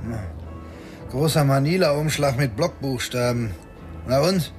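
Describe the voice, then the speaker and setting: A male actor as a weary cop with a medium-pitched voice, gruff and impatient. He talks sarcastically in a radio crime play with faint music underneath. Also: unhurried, a little mellow, natural voice. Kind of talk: radio crime drama dialogue.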